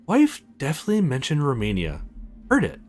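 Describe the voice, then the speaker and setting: A man hosting a let's play, giving informal, animated gaming commentary and reading game text aloud. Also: on the high side, brisk.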